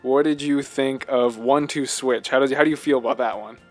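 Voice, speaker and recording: A man co-hosting a podcast, chatting casually about games in a halting, rambling way. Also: medium pitch, steady tone, faint music behind. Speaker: podcast co-host. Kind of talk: casual chat, video game discussion.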